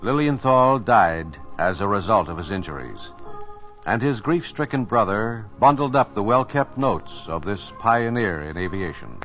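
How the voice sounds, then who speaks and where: A man's voice: lowish voice, lively intonation, a little slow, fairly bright tone, soft background music. A man delivering formal, dramatic scripted narration as a 1950s radio announcer. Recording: muffled old radio transcription.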